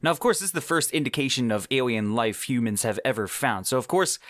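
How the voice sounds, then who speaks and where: Male podcast host, mid-pitched voice, dry close mic, casual explanatory tone. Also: expressive, quick pace, a bit bright, dry recording. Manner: explanatory, casual with fillers like "whatnot" and "kind of". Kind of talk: solo book review.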